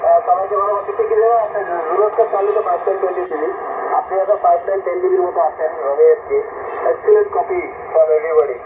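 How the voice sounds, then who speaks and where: A man's voice: sits high, big pitch swings, fast. A man chatting casually as an amateur radio operator over a shortwave link. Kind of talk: casual ham radio chat. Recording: noisy, tinny narrow-band shortwave radio.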